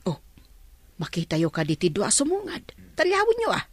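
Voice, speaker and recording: Animated, meddling older Ilocano woman. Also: fairly high pitch, a little fast, dry recording. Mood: teasing. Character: meddling older woman, teasing elder to young ladies. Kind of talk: Ilocano radio drama acting.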